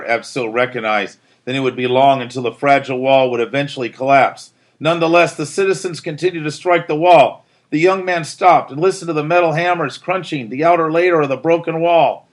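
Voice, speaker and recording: A man reading a narrative aloud as a teacher would, in a dramatic, emphatic storytelling style. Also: medium pitch. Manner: theatrical, emphatic delivery.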